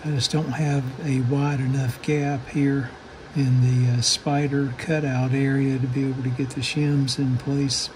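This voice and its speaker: A man giving casual, unscripted hands-on repair narration in a halting manner, often pausing mid-phrase. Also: medium pitch, level tone, darkish tone, a little hiss or hum, poor audio. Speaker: radio restoration hobbyist.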